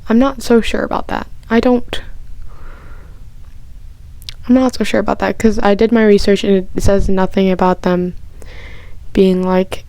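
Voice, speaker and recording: Gushing, excitable young-sounding woman. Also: medium pitch, measured pace, gravelly, pauses often, clear, resonant tone, no echo. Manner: gushing and excitable, rambling with many restarts; chatty, heavy use of "like". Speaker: vlogger answering personal questions. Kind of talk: casual chat.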